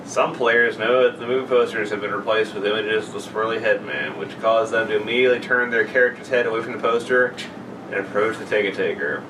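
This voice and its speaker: A man's voice: fairly low pitch, fairly quick. Blunt young man, distant noisy mic. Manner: blunt, crude, self-deprecating. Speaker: podcast co-host. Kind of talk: casual banter. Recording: distant mic with clear background noise.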